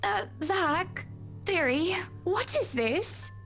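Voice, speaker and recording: A gracious, condescending socialite wife with a high voice. She is sweetly polite and commanding, scattering endearments as a visual novel character, over soft background music. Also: animated, drawn-out words, gravelly, full character voice. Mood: politely displeased. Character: poised, wealthy married socialite woman, gracious and condescending.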